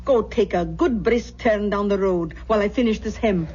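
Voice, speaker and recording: An actress playing a kindly, superstitious older Scottish aunt, homely and solicitous, in an old-time radio mystery. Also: female voice, mid-range voice, normal speed, a little mellow, natural voice. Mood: fussing. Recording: dull, faintly noisy old radio recording.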